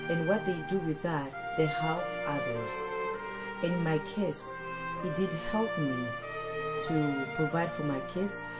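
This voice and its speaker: Low-voiced woman over background music. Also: rough recording. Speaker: interviewee, widow and mother. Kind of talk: documentary testimony. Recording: music playing under the voice.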